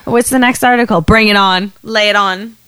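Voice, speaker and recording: A woman's voice: mid-range voice, fairly even intonation, normal speed, fairly bright tone, smooth, no echo. An American woman in her thirties co-hosting a podcast, bantering casually in an irreverent, exclamatory way with plenty of swearing. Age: 30s. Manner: irreverent, profane, exclamatory, giggly. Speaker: podcast co-host. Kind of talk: casual banter about the news.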